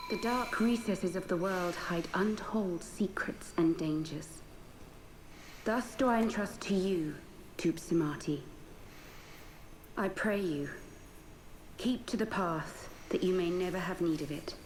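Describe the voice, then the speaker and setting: A woman playing a gentle, serene noblewoman in a video game, with tender, softly breathed archaic speech. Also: on the low side, unhurried, pauses often, natural voice. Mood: gentle, solemn. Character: gentle, serene, self-sacrificing noblewoman with sacred bearing. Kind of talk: scripted video game voice acting. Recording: echoing voice, faint background noise.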